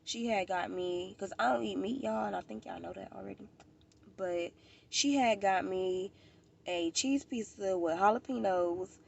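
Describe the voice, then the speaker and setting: A woman's voice: medium pitch, measured pace, vocal fry, slight background noise. An American woman vlogger with a stuffy nose, chatting casually and informally to the camera, rambling and repeating herself. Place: outdoors at a park.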